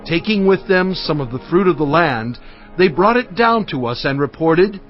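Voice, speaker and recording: A male actor voices a prophet-leader addressing his people in a scripture drama. His rather high voice swings expressively, emphatic and solemn as he recounts and admonishes. The sound is a little muffled, with music underneath. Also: lively intonation, moderate pace, close to an everyday voice. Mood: impassioned, admonishing. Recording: dull audio with music underneath.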